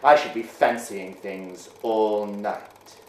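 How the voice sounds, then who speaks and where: A man voicing a blunt, gossipy village blacksmith, low and plain-spoken, in a roomy echo. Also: steady tone, takes it slowly, slight background noise. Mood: gossiping.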